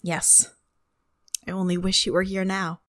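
An actress playing an earnest young woman applying to a space program in an audio drama, with formal, measured answers. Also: mid-range voice, level tone, a bit bright, no echo, clean studio sound, lightly acted.

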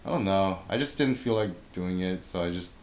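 A man co-hosting a casual podcast chat, his voice raspy from bronchitis, laid-back and quick to laugh. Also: sits low, expressive, darkish tone, slight background noise. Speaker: podcast co-host. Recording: roomy echo.

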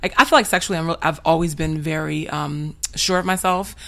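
A woman's voice: low voice, steady tone, a little fast, a bit bright. A young adult woman talking frankly in a casual chat, rambling with many fillers and restarts. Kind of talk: candid conversational chat.

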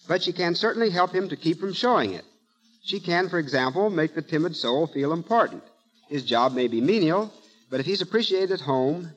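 A man's voice: on the high side, muffled recording. A man speaking as a guest professor in a scripted 1930s radio talk, precise, didactic and formal.

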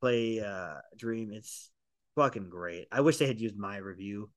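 A man's voice: fairly low pitch, unhurried, dry recording, clean studio sound. A man hosting a film podcast in casual chat, enthusiastic and profane, rambling with restarts. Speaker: podcast host, film reviewer.

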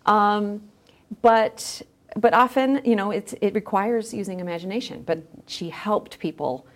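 A woman's voice: medium pitch, measured pace. A woman speaking as a podcast guest in a thoughtful, conversational interview style, feeling her way through her phrases.

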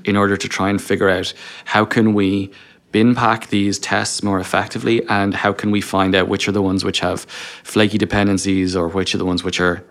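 Low-voiced man explaining in conversation. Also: little pitch movement, a little fast, fairly bright tone. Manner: explains through self-posed questions and quoted inner dialogue. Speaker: podcast guest, engineering leader. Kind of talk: conversational interview talk.

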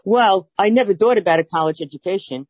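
A woman's voice: mid-range voice, expressive, quick pace, a little mellow, slightly rough, no echo. A woman in her sixties with a Brooklyn accent, telling her life story as an interview guest, earnest and plainspoken with some fillers. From Brooklyn, New York.

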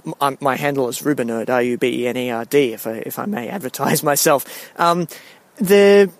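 A young man, raised in Singapore, giving a casual spoken monologue with a wry, halting manner, full of restarts and ums. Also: a little high, no room sound.

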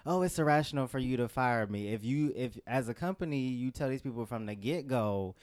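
A man talking in a measured, reasonable way in a casual discussion. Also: mid-range voice, lively intonation, no echo, clean studio sound. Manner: measured, reasonable, many soft agreements.

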